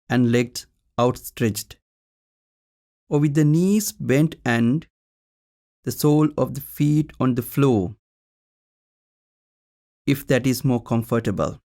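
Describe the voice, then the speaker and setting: A man leading a guided meditation as a teacher, speaking in short, calm instructional phrases with long pauses. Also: mid-range voice.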